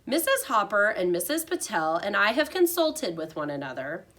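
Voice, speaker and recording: A woman voicing a brisk, reserved female schoolteacher in a storybook, with a measured, dry manner. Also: medium pitch, sing-song, a bit bright. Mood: announcing.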